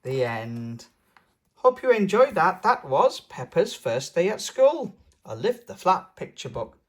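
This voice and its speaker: A woman narrates a picture book for small children. Her pitch is very lively and her pace slow, and she adds playful little comments in simple phrases. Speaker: storybook reader and channel host. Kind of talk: read-aloud narration with playful asides.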